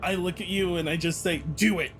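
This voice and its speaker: A man's voice: high-pitched, fairly even intonation, brisk, a bit bright, low-quality recording. A man playing a tabletop game, talking casually about rules and his turns. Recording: music playing under the voice.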